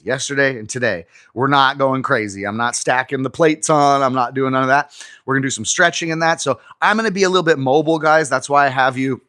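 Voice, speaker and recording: 40s guy rambling on a live stream while stretching in his garage, dry close sound, average pitch. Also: fairly bright tone, no echo. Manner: chatty, talks straight to viewers, reads out comments. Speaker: livestream host. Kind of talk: casual live-stream chat. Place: home garage gym. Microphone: phone camera on an auto-tracking mount.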